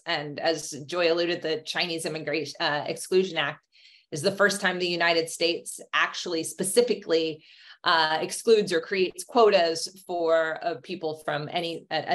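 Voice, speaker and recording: Female academic panelist, forties, somewhat low voice, explanatory, video-call discussion. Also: a bit bright. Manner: checks in with "right?". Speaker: historian panelist. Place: indoor room, video call. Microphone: video call computer mic.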